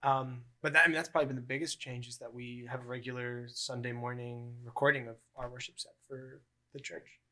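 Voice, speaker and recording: A man in his early twenties, co-hosting a podcast, chatting casually and rambling with many pauses and ums. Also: medium pitch, flat delivery, pushed and bright. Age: early 20s. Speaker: podcast co-host.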